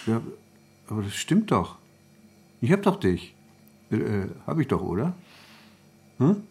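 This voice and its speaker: An actor in a German radio play, playing an anxious, jealous man of 59 who speaks haltingly and with wry self-irony. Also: mid-range voice, very animated, darkish tone. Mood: teasing, unsure. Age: 50s. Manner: hesitant, stammering, self-ironic.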